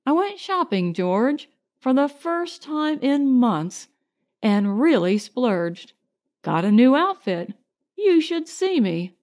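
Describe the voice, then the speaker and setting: Woman acting gentle, high-voiced caring woman. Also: big pitch swings, takes it slowly, exaggerated voice. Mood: happy. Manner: gentle, reassuring. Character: affectionate, teasing woman caring for a patient. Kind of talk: audiobook character dialogue.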